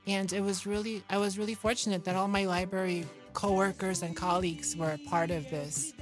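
A woman's voice: medium pitch, fairly even intonation, a little fast, music in the background. A woman from New York chatting casually as an interview guest, in a guileless, self-deprecating, simply worded way. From New York, United States. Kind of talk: casual chat.

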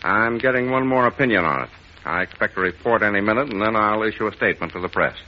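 A man's voice: lowish voice, fast, natural voice. An American man playing a stern, stubborn police inspector in 1940s radio style, firm and clipped in his authority. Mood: firm, official. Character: stern, stubborn male police inspector, exasperated but dutiful. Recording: muffled old radio broadcast recording.

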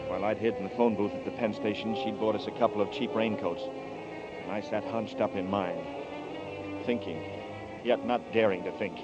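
An actor voices a haunted man on the run, telling his story in a low, brooding voice. Music plays under a muffled old radio broadcast. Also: natural voice. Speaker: first-person narrator of the drama. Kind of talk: 1940s radio drama narration.

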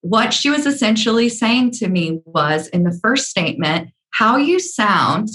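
A woman from the American South speaking with a measured, earnest manner and frequent ums, her Southern accent mostly hidden. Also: mid-range voice, big pitch swings, a bit bright. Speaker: speaker on workplace diversity. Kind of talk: talk with personal stories.

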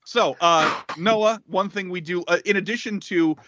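A man in his thirties co-hosts a podcast in a bright, forceful voice. He rambles and pokes fun at himself. The sound is close and dry. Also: high voice, normal speed, no room sound. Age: 30s. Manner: rambling, self-deprecating, lots of fillers. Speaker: podcast co-host. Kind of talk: casual podcast chat.

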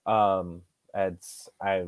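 A man's voice: low-pitched, level tone, very slow, smooth. A man, a musician guest, giving short, easygoing replies in a casual chat.

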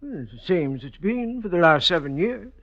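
A man's voice: sits high, big pitch swings, a little fast, fairly bright tone, full character voice. A man playing a plain-spoken, wary janitor in an old-time radio drama, guarded and folksy. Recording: dull vintage radio recording.

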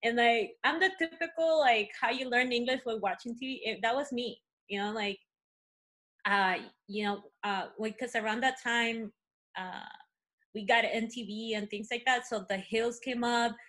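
A woman's voice: fairly high pitch, normal speed. Woman with a Spanish accent telling stories. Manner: animated storytelling, many fillers. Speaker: guest. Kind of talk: casual conversation.

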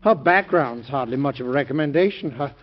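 A man playing a nervous delegate, hesitant and halting, muffled old radio audio. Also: mid-range voice, animated, lightly acted. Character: nervous male political delegate, deferential. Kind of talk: 1940s radio acting. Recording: dull old radio recording.

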